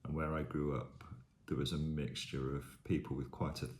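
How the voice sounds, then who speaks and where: A man in his fifties from Staffordshire with a mild Birmingham accent, answering interview questions in a thoughtful, measured way with pauses. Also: extremely low pitch, flat delivery, a little mellow. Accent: mild Birmingham (Brummie). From Staffordshire, England. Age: 50s. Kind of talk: reading word lists and answering interview questions. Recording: roomy echo.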